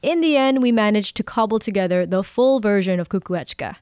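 A woman's voice: mid-range voice, fairly quick, darkish tone, smooth, no room sound. A woman hosts a podcast with a conversational storytelling delivery. Her mid-range voice is recorded close and dry, with no room sound around it. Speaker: podcast host. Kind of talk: narration and co-host chat.

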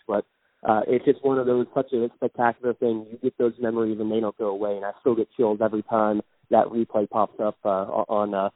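A man's voice: lowish voice, dry recording. A man calling in as a guest, chatting in a hesitant way with many fillers. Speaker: guest, racing fan. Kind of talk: casual phone interview. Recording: tinny phone line.

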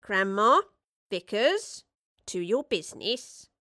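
A woman's voice: medium pitch, big pitch swings, slow pace, bright, forceful tone, no echo, clean studio sound, exaggerated voice. A woman voicing a pompous, short-tempered male swindler with affected, haughty aloofness in an audiobook. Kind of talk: audiobook character acting.

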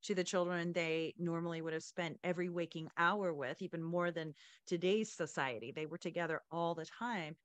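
A woman's voice: fairly low pitch, fairly even intonation, moderate pace, no room sound. A woman hosting a storytelling podcast, chatting casually about the story and introducing readings. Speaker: podcast host.

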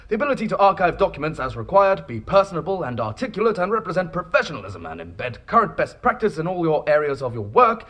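An actor playing an officious, fussy company bureaucrat in a comedy audio drama, brisk, gushing and full of jargon. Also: male voice, on the high side, a bit bright.

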